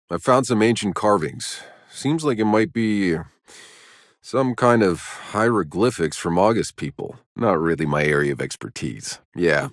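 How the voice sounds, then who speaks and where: Deep-voiced, sardonic soldier hero. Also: male voice, gravelly, no echo, studio quality, exaggerated voice. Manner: sardonic, terse, swears freely. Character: gruff, sardonic, battle-weary male sci-fi soldier hero. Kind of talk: video game character voice lines.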